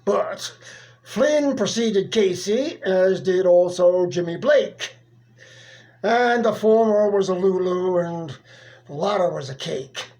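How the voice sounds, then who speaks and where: Slow, theatrical poetry reader. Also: medium pitch, darkish tone. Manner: theatrical, deliberate, with dramatic pauses. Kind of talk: dramatic poetry recitation.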